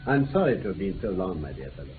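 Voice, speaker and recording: Animated British gentleman detective on old radio. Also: low voice, fast talker. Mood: amused, confiding. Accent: British English. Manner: clipped, commanding, theatrically animated. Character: brisk, keen-witted gentleman detective, dryly teasing. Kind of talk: old-time radio drama acting. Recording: tinny, band-limited old radio, faint noise.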